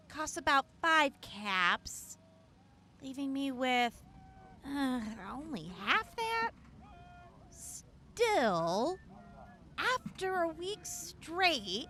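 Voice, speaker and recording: An actress voices a sardonic young woman medic, very high and bright. She is blunt, wry and reluctant, taking her time over her words in a post-apocalyptic audio drama. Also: slight background noise, heavily acted. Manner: hesitant, wry, casual.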